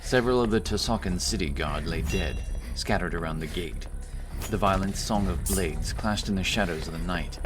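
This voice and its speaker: A man's voice: low voice, moderate pace. A man narrating a fantasy story in a dramatic, scripted audiobook style. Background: action music.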